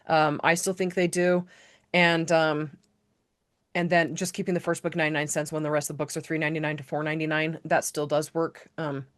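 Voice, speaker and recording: A woman hosting a casual live online training, chatty and rambling, correcting herself and filling pauses with "um". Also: deep voice. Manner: chatty, rambling, self-correcting with many fillers. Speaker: host of a live author-business training.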